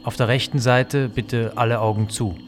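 A man performing a scripted audio drama, reciting short phrases deliberately like a guide. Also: mid-range voice, fairly even intonation, soft background music, lightly acted. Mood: guiding.

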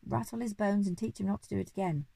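A woman's voice: medium pitch, fast, creaky, warm tone, no echo. A woman voicing a loyal, urgent female giraffe in a children's story, speaking earnestly. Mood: cheering on.

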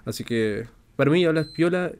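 A man's voice: on the high side, animated, normal speed, darkish tone, slight background noise. Laid-back Peruvian man, mixed accent. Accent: mixed Peruvian Spanish with Chilean touches. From Peru. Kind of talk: casual chat.

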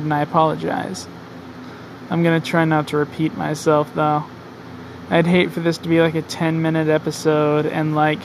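A young man hosting a casual solo podcast from his garage, rambling, mocking himself and swearing often. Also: low-quality recording. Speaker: podcast host. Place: home garage. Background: faint highway traffic.

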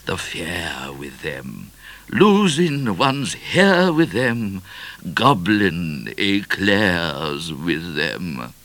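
A man playing a slow, thundering court poet. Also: sits low, a bit bright, dry recording, voice pushed up, full character voice. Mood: rapturous. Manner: declamatory, drawn-out verse recital. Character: theatrical, flattering male court poet, grandly thunderous. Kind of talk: storybook character voice.

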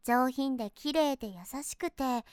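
A woman's voice: high voice, a little slow, clear, resonant tone, no room sound, studio quality. An actress playing a sweet, polite and innocent young girl in an audio drama, speaking earnestly and hesitantly, easily flustered. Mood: admiring. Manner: earnest, deferential, hesitant speech. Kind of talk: Japanese voice drama acting.

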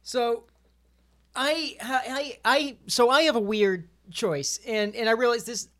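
Podcast panelist, mid pitch, casual gaming chat, rambling, stammering, repeated phrases. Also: takes it slowly, fairly bright tone. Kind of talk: casual chat about video games.

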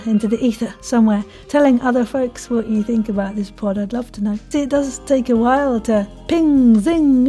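Effusive, high-voiced woman podcast host. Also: warm tone, poor audio. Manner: effusive, playful wordplay. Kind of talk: chatty interview and sign-off to listeners.

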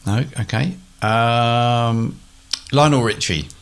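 A man's voice: medium pitch, slow, smooth. A man co-hosting a casual chat, making guesses with dry quips. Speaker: co-host. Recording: noisy background.

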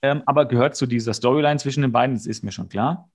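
A man's voice: mid-range voice, quick pace. A man co-hosting a podcast, retelling a TV episode in casual chat with playful, mock-serious asides. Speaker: podcast co-host.

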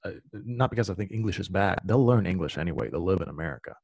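A man's voice: deep voice, animated, quick pace, vocal fry, darkish tone, husky, dry recording. A man whose first language is Serbian, hosting a casual conversation and explaining things emphatically.

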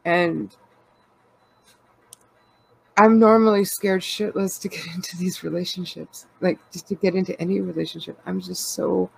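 A woman's voice: fairly low pitch, steady tone, moderate pace, creaky, pauses often, a little mellow, husky, rough recording. A Canadian woman with a congested, cold-stuffed voice, chatting candidly and rambling, laughing often. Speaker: podcast co-host. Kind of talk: casual personal chat.

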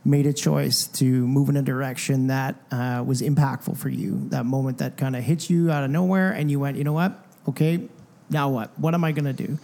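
A man co-hosting a podcast, friendly and easygoing as he brings in his guests, with plenty of "uh" and "um". His voice is dark and mellow, and his pitch sits in the middle. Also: steady tone, fairly quick, vocal fry, few pauses. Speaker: podcast co-host. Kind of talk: casual podcast interview.

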